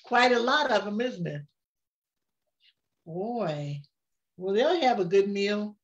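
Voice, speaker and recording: An older woman reading a rhyming picture book aloud in a gentle, unhurried way, often chuckling. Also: medium pitch, expressive, dull audio.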